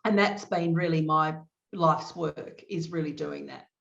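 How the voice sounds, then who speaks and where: A middle-aged woman from Australia with an Australian accent, a guest telling her career story in an animated, emphatic way. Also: deep voice, a little slow, creaky. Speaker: guest, training consultant. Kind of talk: conversational interview answers. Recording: echoey, dull remote audio.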